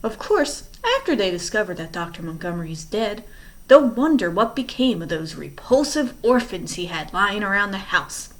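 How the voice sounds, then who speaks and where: A woman's voice: medium pitch, expressive, normal speed, close to an everyday voice. A woman reading aloud and voicing a scheming, menacing male villain who mocks the children. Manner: mocking, menacing.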